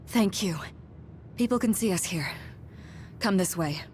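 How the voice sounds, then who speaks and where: A woman playing a soft-spoken, reassuring young mother in an English anime game dub. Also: medium pitch, lively intonation, a little slow, slight background noise, lightly acted. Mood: hushed resolve.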